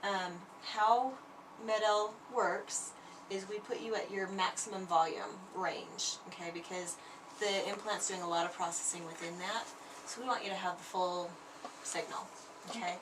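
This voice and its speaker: A woman's voice: mid-range voice, fairly even intonation, moderate pace, recorded from a distance, background noise. A woman audiologist patiently explaining device settings to a patient, checking often that she understands. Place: clinic room.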